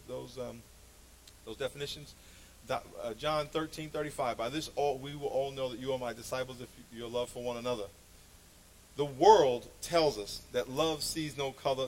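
A male preacher giving a conversational, anecdotal sermon, speaking in an animated, emphatic way and repeating phrases to make his point. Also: medium pitch, a little hiss or hum, low-quality recording.

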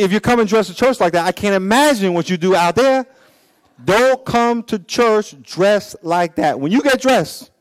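A pastor in his fifties with a high voice, preaching bluntly and with humor. His pitch swings up and down and his pace jumps between slow and fast. The sound is dry and close, with little room around it. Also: dry recording, poor audio. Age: 50s. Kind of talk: sermon.

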